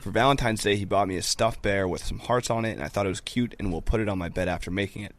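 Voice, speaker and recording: A man's voice: lowish voice, fast talker. A man on a podcast reading listener questions aloud in a casual style.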